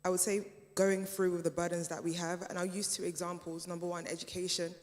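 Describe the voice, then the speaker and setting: A woman whose first language is German, answering on a discussion panel in a measured, hesitant way with many fillers. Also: on the low side, level tone, a little mellow. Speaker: panel speaker. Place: church hall event. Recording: reverberant church hall.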